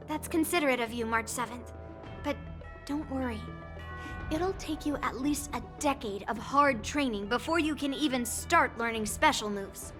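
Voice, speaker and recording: A cocky young girl swordfighter acted by a woman in a video game. She teases bluntly and smugly in a high voice over faint music. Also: normal speed, lightly acted. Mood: condescending. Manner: blunt, smug. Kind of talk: game cutscene voice acting.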